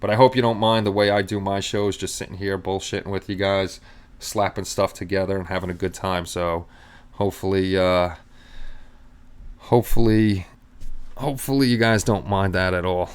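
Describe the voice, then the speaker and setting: Easygoing middle-aged guy chatting on his stream, lowish smooth clear voice, just shooting the breeze with viewers. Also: fairly even intonation, moderate pace. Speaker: live stream host. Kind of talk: casual live stream chat.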